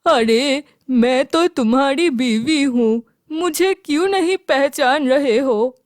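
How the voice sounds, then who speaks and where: A woman voicing a bewildered wife who has been turned into an old woman, in a Hindi animated story. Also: high voice, slow pace, no room sound, clean studio sound.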